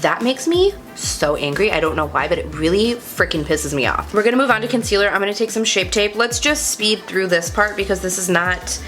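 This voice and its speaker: A woman's voice: medium pitch, animated, brisk, few pauses, music in the background. A young adult woman vlogger chatting casually through a makeup tutorial, a bit congested and sniffly, talking in a confiding, self-deprecating way. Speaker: beauty vlogger.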